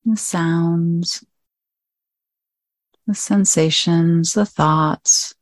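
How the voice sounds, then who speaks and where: A woman guides a meditation in a slightly low voice. She speaks very slowly, in short, gently inviting phrases separated by long pauses. The recording is dry and close, with no room echo. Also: steady tone. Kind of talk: guided meditation, slow spoken instruction.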